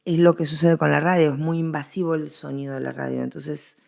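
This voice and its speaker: Low-pitched, creaky-voiced Argentine woman. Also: little pitch movement, fairly quick, a little mellow. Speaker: guest historian interviewee. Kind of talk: conversational academic interview.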